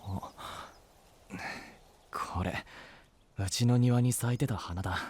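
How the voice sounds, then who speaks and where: An actor playing a brusque, guarded young knight with a curt manner in an anime-style audio drama. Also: male voice, mid-range voice, extremely slow, darkish tone, slight background noise. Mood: pensive, curt. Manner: curt, gruff, reluctant.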